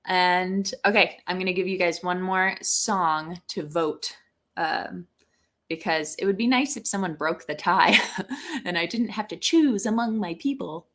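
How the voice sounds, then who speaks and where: A woman's voice: lowish voice, a little slow. A woman singer-songwriter hosting a livestream, chatting casually with viewers between songs and reading out their comments and votes. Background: loud guitar playing.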